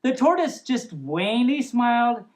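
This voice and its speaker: Female storyteller, fairly high and highly animated, silly and expressive, for a playful read-aloud. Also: measured pace. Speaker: storyteller, host of a storytime series. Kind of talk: storybook reading with playful ad-libbed asides.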